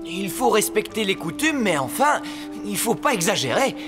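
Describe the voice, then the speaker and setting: A man's voice: high-pitched, very animated, moderate pace, music in the background. A man voicing a cheeky, boastful comic sorcerer in a cartoon dub, jaunty and irreverent. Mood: indignant, mocking. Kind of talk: French cartoon dubbing.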